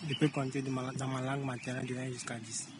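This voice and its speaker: A man answering a street interview calmly and steadily. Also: medium pitch, quick pace. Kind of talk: vox pop answer. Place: street outdoors. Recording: noisy outdoor street recording.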